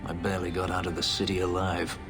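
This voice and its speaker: A man voicing a brooding elf warrior, very deep voice, grave and clipped, reverb, game music behind. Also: completely flat delivery, quick pace, natural voice. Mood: wry. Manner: grave, clipped, controlled intensity. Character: brooding elven male ex-slave warrior, bitter. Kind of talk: video game voice acting.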